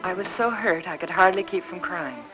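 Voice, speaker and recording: An actress playing an anxious, gentle housewife in 1950s Hollywood melodrama, earnest and placating. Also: female voice, on the low side, a little fast, lightly acted. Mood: hurt, confiding. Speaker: actress in lead role and narrator. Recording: dull vintage film soundtrack with music.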